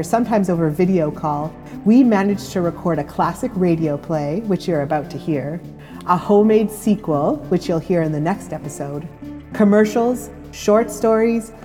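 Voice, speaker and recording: A woman's voice: medium pitch, warm tone, in a large hall. A woman hosting, giving a scripted podcast introduction. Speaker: host.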